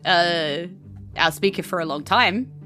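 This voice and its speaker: A woman's voice: lowish voice, a little slow, pushed and bright, faint music behind. A woman voicing a polite, hesitant, earnest townsman in a tabletop roleplaying game.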